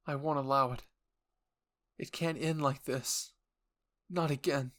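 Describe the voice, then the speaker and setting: A man's voice: medium pitch, steady tone, a little slow, a bit bright, close to an everyday voice. A man voicing an anguished young soldier in an audio roleplay, pleading desperately with emotional outbursts. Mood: furious. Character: anguished young soldier screaming inner pleas for a friend.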